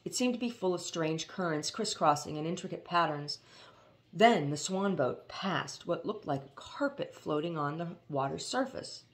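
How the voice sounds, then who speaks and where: A woman reading a novel aloud as expressive storybook narration. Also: fairly low pitch, normal speed. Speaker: audiobook reader.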